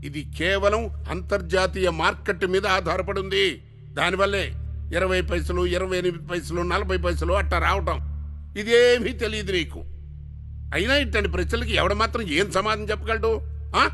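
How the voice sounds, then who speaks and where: High-voiced, patronising government man. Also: moderate pace, fairly bright tone, faint music behind. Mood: dismissive. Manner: patronising, argumentative. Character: dismissive, lecturing government spokesman. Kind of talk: film dialogue, defensive explanation.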